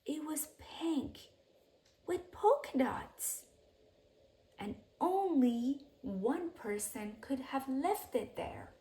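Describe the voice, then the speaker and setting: High-voiced woman reading a children's story slowly. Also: animated, dark tone. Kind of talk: expressive read-aloud bedtime story narration.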